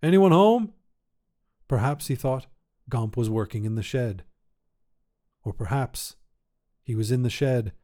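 A man's voice: medium pitch, mellow. A man reading scripted short fiction as the narrator and host of a story podcast.

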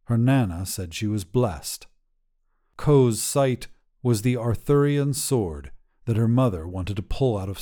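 A man reads literary fiction aloud as a narrator. His voice is mellow and soft-edged, and he takes his time, shaping each phrase with measured storytelling drama. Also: on the low side. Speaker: narrator reading short fiction.

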